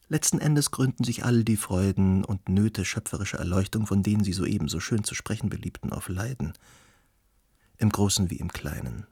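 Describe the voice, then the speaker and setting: An actor playing an eloquent, worldly and uncanny gentleman in a radio play, speaking formally and musingly in a husky voice. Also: on the low side, no echo, studio quality. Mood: wry, musing.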